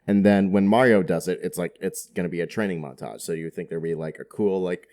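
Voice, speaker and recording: A man chatting casually on a podcast, informal and excitable, with a giggly way of talking. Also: low voice, fast talker. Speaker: podcast guest or co-host. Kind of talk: casual chat.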